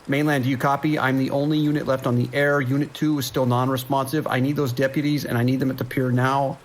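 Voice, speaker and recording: A man's voice: medium pitch, level tone, fast talker, a little hiss or hum, close to an everyday voice. A man playing a desperate police dispatcher under siege in a horror audio drama, talking in urgent, clipped, commanding emergency-radio style. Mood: urgent, pleading.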